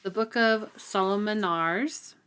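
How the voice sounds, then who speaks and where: Give a woman with a mid-pitched voice co-hosting a book podcast. She talks informally, as in a relaxed book-club chat between two readers. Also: takes it slowly, smooth.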